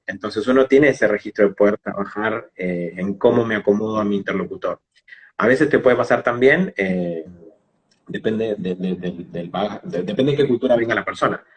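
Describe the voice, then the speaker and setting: A man's voice: lowish voice, normal speed, breathy edge. A man with a Rioplatense Argentine accent, speaking as an English teacher in an interview, explaining things didactically with everyday examples. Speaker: English teacher and phonetics specialist being interviewed.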